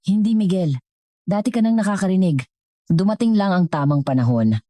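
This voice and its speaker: A woman with a Filipino accent voicing a humble, kindly old woman cook, gentle and polite, in a dramatic story. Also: medium pitch, animated, a little fast, dry recording, studio quality, voice pushed up, lightly acted. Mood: tender.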